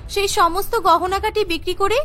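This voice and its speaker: A woman's voice: extremely high pitch, fairly even intonation, moderate pace, fairly bright tone, faint music behind. A woman voicing an earnest young daughter-in-law who is eager to please and pleads her case in a cartoon. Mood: reassuring. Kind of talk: Bengali cartoon voice acting.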